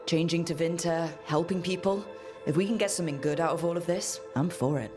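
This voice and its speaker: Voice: mid-range voice, brisk, music in the background, lightly acted. An actor playing an earnest, plainspoken young adventurer hero in a fantasy video game. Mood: hopeful. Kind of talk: video game dialogue acting.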